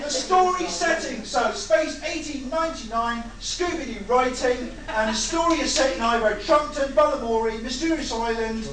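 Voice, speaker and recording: High-voiced British man in an echoey hall. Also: moderate pace, hardly any pauses. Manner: exasperated, sweary, bantering. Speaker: host taking audience suggestions. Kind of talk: informal live audience brainstorm. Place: large echoey indoor event room with audience. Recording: echoey room, far mic, crowd chatter.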